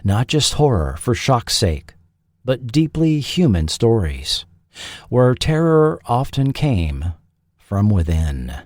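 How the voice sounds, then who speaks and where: A man reading a scripted documentary narration as host, with measured, dramatic phrasing. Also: mid-range voice, big pitch swings, a little mellow, no echo, clean studio sound. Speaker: host and narrator of a history show.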